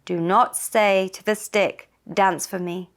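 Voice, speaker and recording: A woman's voice: mid-range voice, steady tone, slow pace, natural voice. A woman voicing a trusting, poor man in a children's story, speaking in an earnest, plaintive manner. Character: Akumba, a trusting poor man, complaining but polite.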